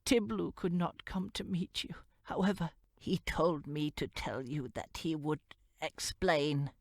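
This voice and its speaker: Clementine, a man doing a shrill old crow woman. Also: fairly even intonation, creaky, no room sound, studio quality. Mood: apologetic. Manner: brisk and urgent, snapping then softening. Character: waspish old shape-shifting crow woman. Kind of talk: audiobook character reading.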